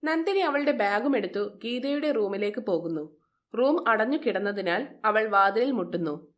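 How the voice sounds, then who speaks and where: A woman's voice: a little high, animated, moderate pace. A woman narrator telling a scripted moral story.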